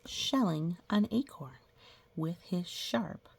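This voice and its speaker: Woman, children's storyteller, very animated pitch, slow and gently explanatory, casual asides. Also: creaky, slightly rough. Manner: playful, acts out sound effects and animals; explains gently to young listeners. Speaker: storyteller reading a picture book aloud.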